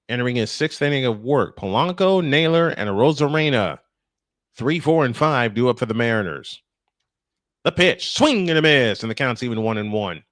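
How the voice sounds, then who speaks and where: An American man with a mid-range voice works as a baseball announcer. He moves between pitch calls and casual, folksy storytelling, often repeating phrases. The sound is close and dry. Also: fairly bright tone, no room sound. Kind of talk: play-by-play commentary mixed with casual chat.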